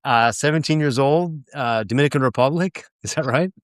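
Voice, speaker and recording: A man hosting a podcast, chatting with a guest in a relaxed and friendly way, with fillers along the way. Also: mid-range voice, moderate pace, no echo, clean studio sound. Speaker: podcast host. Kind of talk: casual interview chat.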